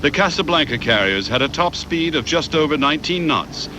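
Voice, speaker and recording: A man reads scripted documentary narration in a measured, factual way. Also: a little high, animated, over music, low-quality recording.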